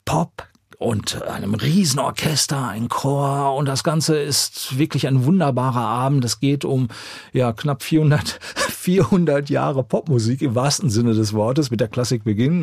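A man's voice: medium pitch, a little slow, a little mellow, slightly rough, no room sound. A man co-hosting a podcast in a studio, eager and teasing, often cutting in during casual banter. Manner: eager, interrupts, playful teasing. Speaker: podcast co-host.

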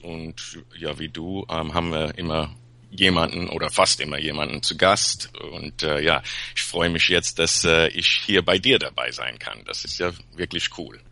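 A man's voice: low-pitched, vocal fry, sharp tone, no echo. A man from Peru, a podcast guest chatting casually in German, with many fillers and restarts. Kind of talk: casual chat.

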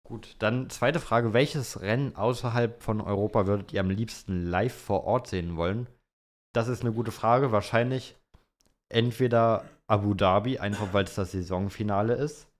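A man's voice: fairly low pitch, normal speed. A young man, co-hosting a podcast in casual, slangy banter. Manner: colloquial, slangy. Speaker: podcast co-host. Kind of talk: casual banter, answering listener questions.

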